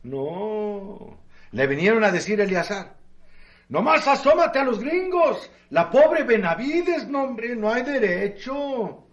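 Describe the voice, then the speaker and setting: A man's voice: high-pitched, animated. An actor playing an earthy Mexican barroom man who tells admiring yarns in salty, colloquial radio drama style. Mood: indignant, gossipy. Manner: colloquial, salty, drawn-out storytelling.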